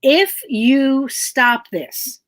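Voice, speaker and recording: Middle-aged lady comedian, loud, swears a ton, kinda high-pitched. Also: expressive, extremely slow. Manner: emphatic, profane ranting. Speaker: comedian guest. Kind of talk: casual chat.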